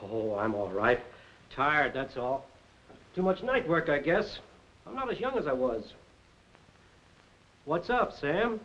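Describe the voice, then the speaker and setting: An actor in a 1940s crime film playing a middle-aged, weary doctor, nervous, evasive and defensive. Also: male voice, on the high side, big pitch swings, slow, pauses often, fairly bright tone, heavily acted. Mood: weary, uneasy. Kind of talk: 1940s film noir acting. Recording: dull 1940s film soundtrack.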